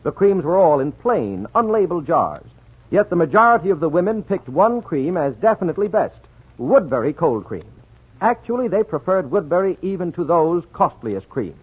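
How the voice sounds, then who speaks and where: Animated 1940s American radio announcer, male. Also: fairly high pitch, normal speed, husky, phone-quality sound. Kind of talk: 1940s radio announcing, scripted narration and advertising. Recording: thin 1940s radio recording, music behind.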